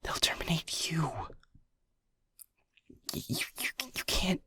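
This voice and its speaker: A man playing an anxious, protective young lab technician who stammers and keeps his voice to a hushed whisper. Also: takes it slowly, no room sound. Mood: frightened whisper. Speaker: audio roleplay voice actor. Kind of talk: audio roleplay acting.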